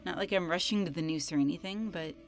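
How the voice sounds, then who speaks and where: A woman's voice: fairly low pitch, fast talker, vocal fry, soft background music. A woman playing a cynical, morbid young woman with a blunt, sardonic manner in a horror visual novel. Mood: bitter, weary.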